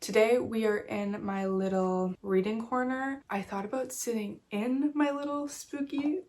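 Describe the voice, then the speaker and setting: A woman's voice: on the high side, a little slow, a little mellow. A woman chatting casually about a book in a home reading vlog, a little nasal from being sick, filling her talk with "like". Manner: chatty, fillers like "like", casual swearing. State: nasal from a cold. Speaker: book vlogger. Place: small reading corner at home.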